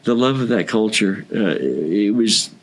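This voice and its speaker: A man's voice: lowish voice, sing-song, unhurried, vocal fry, slightly rough, low-quality recording. An American man from Kentucky talking casually as a podcast guest, earnest and effusive, repeating phrases for emphasis. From Kentucky, United States. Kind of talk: casual conversational interview.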